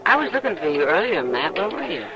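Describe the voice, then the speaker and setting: A woman's voice: on the low side, big pitch swings, fast talker, lo-fi sound, a crowd in the background. Frank frontier saloon woman. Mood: curious, casual. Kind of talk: old-time radio western acting.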